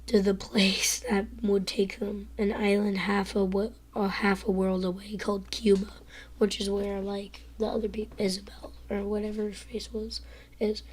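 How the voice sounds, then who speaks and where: A teenage girl with a hoarse, worn-out voice reading a novel aloud live, whispering and stumbling over words as she goes. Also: mid-range voice, little pitch movement, normal speed, a little mellow, low-quality recording. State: hoarse, lost voice.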